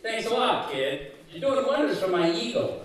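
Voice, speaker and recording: A man's voice: high-pitched, big pitch swings, moderate pace. A man voicing a sardonic, chatty talking skull, a showbiz old-timer, in a live old-time radio comedy. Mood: sarcastic. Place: community center, live stage show. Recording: echoey hall, distant mic, faint noise.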